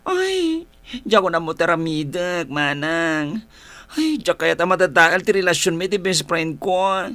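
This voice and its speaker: A woman's voice: on the low side, animated, unhurried, a bit bright, no room sound. An actress with an Ilocano accent playing a guilty young woman in a radio drama, hesitant, pleading and melodramatic. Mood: distressed. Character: guilty young woman who took her best friend's boyfriend. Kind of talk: Filipino radio drama acting.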